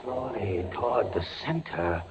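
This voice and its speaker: An actor playing a tormented, terrified captive captain in a theatrical 1940s radio drama, with impassioned delivery. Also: male voice, lowish voice, unhurried, close to an everyday voice. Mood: dread. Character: tormented male prisoner, a captain, desperate and terrified. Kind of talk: 1940s radio acting, dramatic first-person narration. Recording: muffled, noisy 1940s radio recording.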